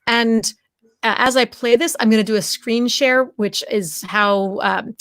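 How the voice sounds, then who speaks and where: A woman's voice: fairly high pitch, steady tone, unhurried, no room sound, clean studio sound. A woman hosting a live stream, talking casually and informally to viewers. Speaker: live show host. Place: indoors, live streaming video call.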